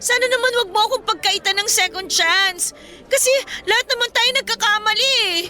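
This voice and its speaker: A woman's voice: very high-pitched, a little fast, bright, forceful tone, heavily acted. A woman acting a desperate, imploring teenage student pleading with her boyfriend in an emotional radio drama. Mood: desperate, demanding.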